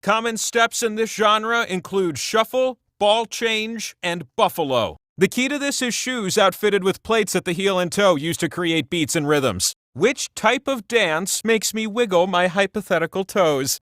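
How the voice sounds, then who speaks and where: Video game casting: a vain, quirky talking wheel game host, high-pitched and bright, theatrical, wry asides. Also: male voice, moderate pace, hardly any pauses, no echo, studio quality. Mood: grand, wry. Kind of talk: theatrical video game hosting, reading clues and quips.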